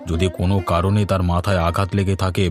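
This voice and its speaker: Man playing a lowish-voiced, inquisitive village man. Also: flat delivery, quick pace, faint music behind, lightly acted. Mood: puzzled. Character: village men, mild, inquisitive and puzzled. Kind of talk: cartoon voice acting.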